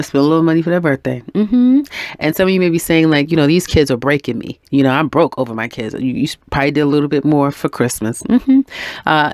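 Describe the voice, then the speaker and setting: A woman's voice: low-pitched, dry recording, clean studio sound. A woman with a head cold, her voice going in and out, giving a chatty, encouraging weekly tarot reading. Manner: chatty and encouraging, with frequent ums and little laughs. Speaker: tarot reader.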